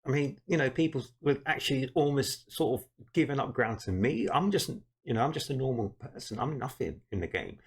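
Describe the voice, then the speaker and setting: Husky British man chatting to viewers. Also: medium pitch, lively intonation, fairly quick, hardly any pauses. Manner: chatty, addresses viewers as guys and people. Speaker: reaction video host. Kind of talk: casual reaction commentary.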